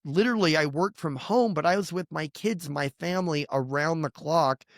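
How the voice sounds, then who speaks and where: A man's voice: fairly high pitch, normal speed, dry recording, clean studio sound. Earnest middle-aged man pastor. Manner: earnest, emphatic, grows heated and indignant. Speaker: pastor, podcast guest. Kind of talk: candid testimony in conversation.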